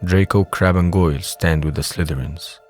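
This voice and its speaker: A low-pitched man reads scripted narration for an audio drama. His delivery is measured and descriptive, setting out each scene in the present tense. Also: a little mellow, faint music behind.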